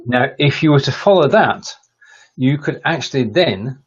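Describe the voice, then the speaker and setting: A man's voice: mid-range voice, animated, normal speed, breathy edge. Hesitant man learning German, dull audio. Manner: hesitant, stumbles over German words. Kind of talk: casual language lesson chat.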